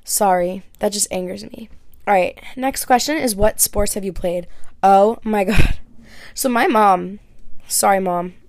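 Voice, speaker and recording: Young woman, chatty, casual filler-heavy talk, dry close sound, mid pitch. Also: a little slow, darkish tone, no echo. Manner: chatty, lots of "like" and "um". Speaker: podcast host. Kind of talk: casual Q&A chat. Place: her bedroom.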